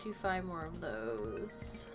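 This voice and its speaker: A woman's voice: on the low side, sing-song, takes it slowly. A woman with a slightly nasal voice, still recovering from a cold, chatting casually as she plays and talks to the game characters. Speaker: gaming channel host. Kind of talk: casual let's play commentary. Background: game music.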